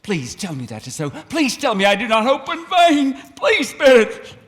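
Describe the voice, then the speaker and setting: An actor playing an older repentant miser who pleads breathlessly and breaks into laughter. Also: male voice, very high-pitched, wildly swooping pitch, moderate pace, a bit bright, in a large hall, heavily acted. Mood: pleading. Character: repentant old miser, frantic then giddy with joy.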